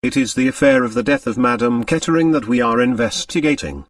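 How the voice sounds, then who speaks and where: A man's voice: mid-range voice, fairly quick, no room sound, recorded from a distance, lightly acted. A man voicing a polite, formal French examining magistrate who questions carefully, in an audiobook. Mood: polite, tactful. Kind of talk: audiobook character dialogue.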